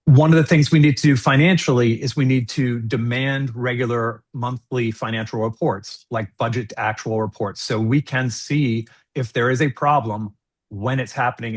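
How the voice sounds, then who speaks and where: A middle-aged man speaks earnestly on a video call, his voice at an ordinary pitch for a man. He lays out his points in measured sentences with the odd "um" and "uh.". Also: fairly even intonation. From United States. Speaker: school board candidate. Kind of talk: forum candidate statement.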